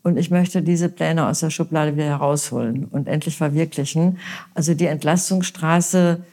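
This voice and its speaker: A woman from Westphalia answering interview questions as a candidate, earnest and thoughtful, often pausing with fillers and restarts. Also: deep voice, steady tone, warm tone. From Westphalia, Germany. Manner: earnest, hesitant with many fillers. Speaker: mayoral candidate.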